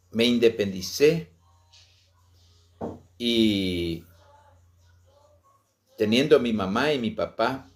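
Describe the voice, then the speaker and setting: A middle-aged man from Misiones, Argentina, telling his life story to online viewers with passion, slowly and with many pauses and fillers. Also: lowish voice. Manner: slow, passionate, pausing often with fillers. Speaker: Guaraní language and culture promoter.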